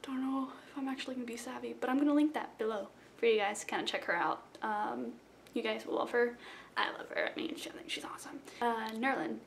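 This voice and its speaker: A woman's voice: on the high side, vocal fry, a little hiss or hum. A young woman from South Florida talking casually to a webcam in her bedroom, rambling and self-deprecating. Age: young adult. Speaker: vlogger. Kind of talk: casual rambling intro vlog. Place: small bedroom indoors. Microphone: webcam at close range.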